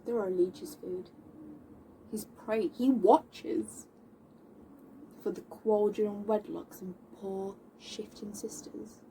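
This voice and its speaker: Woman acting a defiant, slow-spoken tomboy of old London. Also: mid-range voice, fairly even intonation, dark tone. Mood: contemptuous. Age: young adult. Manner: declamatory, indignant, dramatic pauses. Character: defiant, scornful tomboyish young woman of seventeenth-century London. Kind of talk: classical stage monologue. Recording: distant mic with faint background noise.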